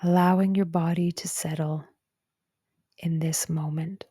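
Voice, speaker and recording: A woman narrating a guided meditation in a gentle, calm and soothing way, with soft breathy phrase endings. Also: on the low side, measured pace, dry recording, clean studio sound. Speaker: psychologist guiding a meditation.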